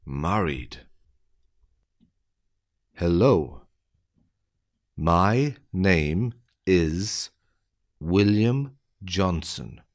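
A man with a low, deep voice reads out an English listening quiz. He speaks extremely slowly, setting each phrase apart so that learners can follow every word. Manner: deliberate, evenly spaced phrasing for learners. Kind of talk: slow scripted quiz reading.

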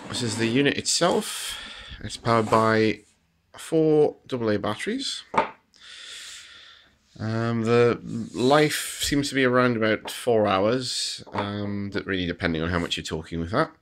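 A man giving a casual, halting demonstration of a homemade gadget. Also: lowish voice, fairly bright tone, slight background noise. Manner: hesitant, halting explanation. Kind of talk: casual unscripted product demonstration. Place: indoors at a wooden table.

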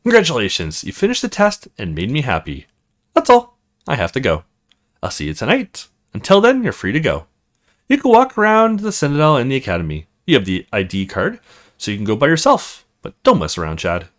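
A man's voice: mid-range voice, wildly swooping pitch, brisk. Man reading as commanding, clipped academy mistress. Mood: cool, commanding. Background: music.

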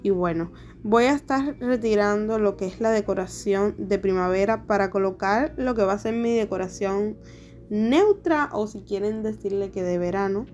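A young adult woman narrating her home decor vlog in a chatty, relaxed way. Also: mid-range voice, moderate pace, a little hiss or hum. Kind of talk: casual voice-over vlog narration. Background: soft background music.

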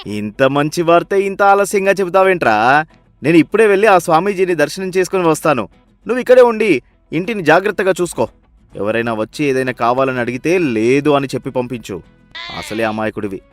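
A man voicing a stingy, gruff village father in a cartoon moral story, scolding and suspicious. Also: medium pitch, a little fast, dry recording, soft background music, natural voice. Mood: eager, bossy.

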